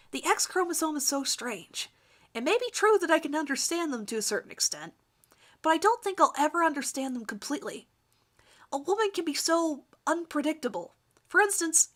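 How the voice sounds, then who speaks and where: Woman, high-pitched, bright, dramatic first-person story narration, amateur fan fiction reader.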